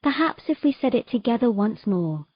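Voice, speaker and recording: A woman's voice: on the high side, very animated, normal speed, no room sound, highs cut off. A woman playing a troubled, earnest young mermaid in a romantic fantasy audio drama. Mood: hesitant, pleading.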